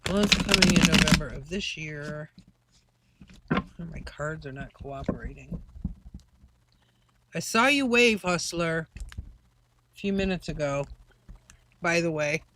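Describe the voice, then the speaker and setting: A woman's voice: low voice, slow pace, pauses often, a bit bright, a little hiss or hum, low-quality recording. A woman doing a casual live-stream tarot reading, chatty and rambling with many fillers. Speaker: tarot reader.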